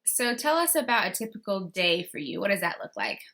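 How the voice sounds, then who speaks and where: A woman's voice: mid-range voice, big pitch swings, a little fast, sharp tone. A woman hosting an interview, asking short, upbeat questions. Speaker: interview host.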